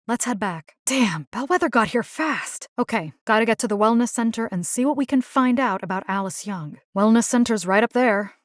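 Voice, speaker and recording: A woman's voice: fairly high pitch, expressive, normal speed, hardly any pauses, dry recording, studio quality, lightly acted. An actress voicing a wry, determined, compassionate young woman who hunts monsters in a video game, speaking conversationally with muttered asides. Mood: focused, determined. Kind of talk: video game character voice lines.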